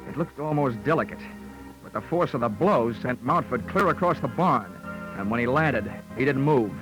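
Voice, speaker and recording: 1940s radio detective, American male actor: sardonic, punchy, lively intonation, on a vintage recording. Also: mid-range voice, normal speed, music in the background, natural voice, low-quality recording. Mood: tense, grave. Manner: dry, sardonic, punchy delivery. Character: wry, money-minded hard-boiled male insurance investigator, narrating.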